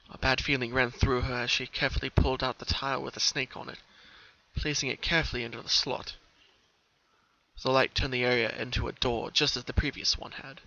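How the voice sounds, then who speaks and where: Creaky-voiced man reading a dark story. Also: medium pitch. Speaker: fan fiction reader. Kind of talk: dramatic scripted story narration. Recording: dull, muffled home recording.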